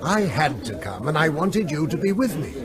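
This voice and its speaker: Calm husband reassuring his wife, loud crowd. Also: fairly high pitch, moderate pace. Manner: quiet, reassuring. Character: resolute husband at a meeting.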